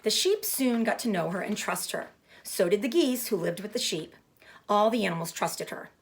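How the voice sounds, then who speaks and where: A woman reading a children's book aloud as a storyteller, with deliberate phrasing meant for young listeners. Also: mid-range voice, animated. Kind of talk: expressive children's book narration.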